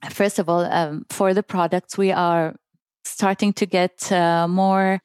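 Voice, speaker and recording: A woman's voice: lowish voice, fairly even intonation, slow pace, darkish tone, smooth, no room sound, clean studio sound. A woman, a guest mental health advocate speaking earnestly and cheerfully in a casual interview. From Kuwait.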